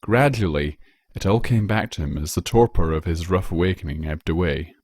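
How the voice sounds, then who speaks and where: A man reading a scripted story aloud as narrator, in a measured, dramatic storytelling manner. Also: sits low, darkish tone, dry recording, clean studio sound. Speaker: narrator, fan fiction reader.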